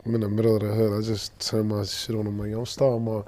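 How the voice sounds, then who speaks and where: Mellow-voiced young rapper, loose and rambling. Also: male voice, fairly low pitch, monotone, quick pace. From Boston, Massachusetts. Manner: loose, rambling street slang with many fillers. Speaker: rapper, guest. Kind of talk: casual interview chat.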